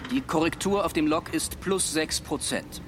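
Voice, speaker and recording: A man's voice: a little high, normal speed, close to an everyday voice. A man playing a proud, condescending sea captain in his thirties, giving clipped orders in a radio play. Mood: businesslike. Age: 30s. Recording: clear background noise, vehicle-like ambience.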